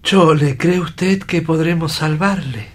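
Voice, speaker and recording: A man playing a grave, compassionate doctor in a radio drama, formal and deliberate. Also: a little high. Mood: grave, reserved.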